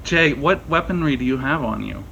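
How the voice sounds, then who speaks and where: Man, game master, mid pitch, improvised conversational narration, reactive, faint background noise. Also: lively intonation, moderate pace, creaky, sharp tone, highs cut off. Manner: casual and conversational, exclaims in reaction to players. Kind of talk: improvised narration of a tabletop role-playing game.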